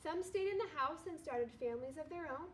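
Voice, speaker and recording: Casting: female second grade teacher, high-pitched, storybook read-aloud with noticeable background noise. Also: expressive, fairly quick.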